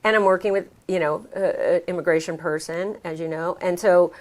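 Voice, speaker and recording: An American woman chatting casually as an interview guest, telling her experiences in an animated, exclamatory way. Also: on the low side, a little fast. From United States. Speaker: interview guest, American who moved to France. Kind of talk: casual conversational storytelling.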